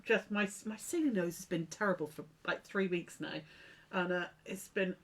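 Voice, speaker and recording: A woman's voice: lowish voice, moderate pace, slightly rough. A middle-aged woman with a stuffy, snuffly nose, chatting casually to live viewers from her sewing studio as a craft shop host. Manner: chatty, warm greetings to viewers, many fillers. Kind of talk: casual live-stream chat. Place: unheated home sewing studio.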